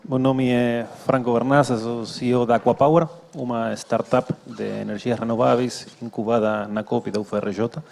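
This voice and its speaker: A man with an Argentine Spanish accent and a gravelly, creaky voice speaks on a conference panel. He sounds friendly and a little hesitant, with plenty of fillers. The sound carries a long hall reverb. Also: medium pitch, flat delivery, normal speed, hardly any pauses, a little mellow. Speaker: startup CEO panelist. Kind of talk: panel self-introduction.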